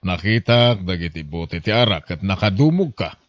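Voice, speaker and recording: Man playing deep-voiced, animated stern boss. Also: normal speed, no room sound. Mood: stern, reproving. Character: stern, disappointed male boss lecturing a worker. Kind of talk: amateur audio drama acting. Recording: dull, dry lo-fi podcast audio.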